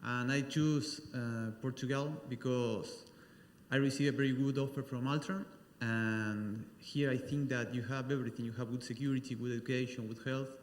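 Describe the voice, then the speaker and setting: A man from Argentina speaks at a conference, halting with restarts. His voice sounds roomy, with a long hall tail. Faint ambience sits behind him. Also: mid-range voice, steady tone, slight background noise. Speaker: panel speaker. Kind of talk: conference testimonial.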